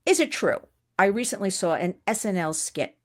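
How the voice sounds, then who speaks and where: A woman hosts an interview show. She talks in an animated, gushing way, laughing often and bubbling over with praise for her guest. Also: mid-range voice, moderate pace, crowd noise. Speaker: podcast host. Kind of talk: enthusiastic interview chat.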